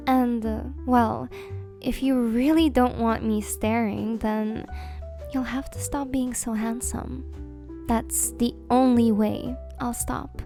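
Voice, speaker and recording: Woman acting a slow-talking, flirty mafia princess. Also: fairly high pitch, fairly even intonation, soft background music. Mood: flirty, affectionate.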